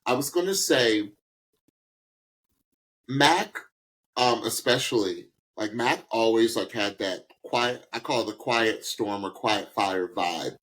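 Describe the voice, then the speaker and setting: A man's voice: fairly low pitch, takes it slowly, a bit bright, low-quality recording. A man co-hosting a podcast with casual, emphatic reaction commentary, repeating phrases for effect. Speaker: podcast co-host.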